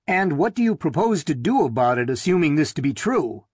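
A man's voice: fairly high pitch, sing-song, fairly quick, darkish tone, slightly rough, no echo, clean studio sound. A man reading as a polite TV news announcer. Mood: formal. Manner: formal, courteous interviewer.

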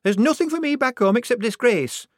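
A man's voice: sits high, animated, brisk, no room sound, clean studio sound, voice pushed up. A man voicing a young woman disguised as a male recruit, who mumbles and then speaks hotly. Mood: defiant. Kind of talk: audiobook character voice.

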